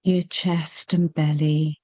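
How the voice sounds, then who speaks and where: A woman leading a guided meditation, speaking gently and soothingly with long, unhurried pauses. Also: deep voice, flat delivery, no room sound.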